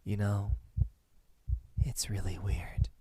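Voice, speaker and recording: Male actor as young male kidnapper, calm menace, smug, mellow low voice, unhurried soft delivery, sighing, close-mic ASMR roleplay. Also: dry recording, exaggerated voice. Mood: quiet confession. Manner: soft, deliberate, breathy sighs, dropping into whispers. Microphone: close microphone.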